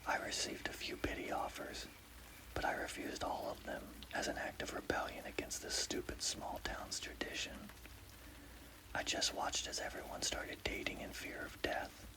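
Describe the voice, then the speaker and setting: A man narrating a scary story as whispered ASMR, in a hushed, deliberate, breathy voice with a gravelly creak. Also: rough recording.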